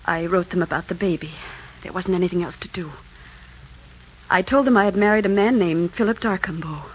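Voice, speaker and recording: Anguished young radio heroine. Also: female voice, fairly low pitch, brisk, breathy edge. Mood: anguished, confessing. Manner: earnest, melodramatic. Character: anguished, secretive young woman in love, intensely earnest. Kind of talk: 1940s romantic radio acting. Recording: muffled old radio recording, noisy.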